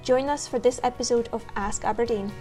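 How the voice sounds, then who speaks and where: A woman with a high voice reads a lively scripted intro. Her tone is mellow for all its lift, and she sounds set back from the mic. Light music plays behind her. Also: a little fast. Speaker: co-host of intro.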